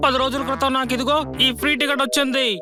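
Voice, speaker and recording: Showy, eager cartoon man: high pitch, rapid chirpy patter. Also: level tone, over music. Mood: excited, showy. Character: excitable, showy, eager-to-please adult man. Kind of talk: cartoon dub acting.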